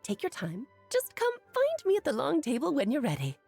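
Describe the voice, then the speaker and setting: A breezy game witch with a high, lively voice that swoops theatrically. She is playful, grand and affectionate, like a flamboyant aunt. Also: a little fast, creaky, faint music behind, exaggerated voice. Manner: theatrical, calls people darling. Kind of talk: video game voice acting.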